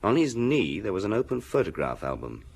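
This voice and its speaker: A police detective in a radio play, with a low voice. He reports in clipped, factual phrases, plain and businesslike. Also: sing-song, a little fast, creaky, a little mellow, husky, exaggerated voice. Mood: matter-of-fact. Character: matter-of-fact male police detective giving a report. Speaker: actor in radio drama.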